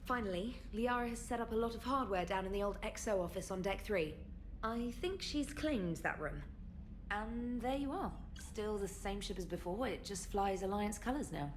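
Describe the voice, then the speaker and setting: Actress as friendly, efficient crew specialist. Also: female voice, mid-range voice, animated, moderate pace, a little hiss or hum, natural voice. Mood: helpful. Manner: friendly, orderly, informative. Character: efficient, eager female military crew specialist. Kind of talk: video game cutscene acting.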